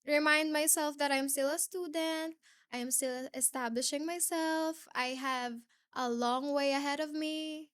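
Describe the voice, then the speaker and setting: A young Filipino woman in her late teens speaks with a Filipino accent, her voice sitting high and her pace unhurried. She answers interview questions carefully, pausing on fillers. The sound is dry and close, with little room around it. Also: steady tone, a bit bright, no echo. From Philippines. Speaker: guest, pageant winner.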